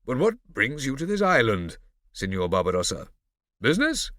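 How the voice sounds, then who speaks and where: A cocky teenage boy putting on a haughty, condescending grown-up manner, as a man reads him. His tone is bright and sharp, with lively rises and falls. The sound is dry and close. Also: medium pitch, normal speed, no echo, pitched above the performer's own voice. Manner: condescending, smooth. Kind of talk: audiobook character voice.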